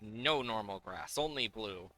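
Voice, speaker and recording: A man's voice: on the low side, lively intonation, unhurried, sharp tone. A man giving casual, playful live commentary on a game, thinking aloud as he plays, with game music behind him. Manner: playful, hums and makes sound effects while talking.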